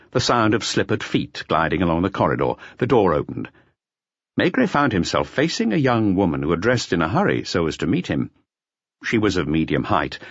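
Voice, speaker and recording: A man's voice: low voice, breathy edge, no echo, dull audio. A man reading a detective novel aloud as scripted audiobook narration.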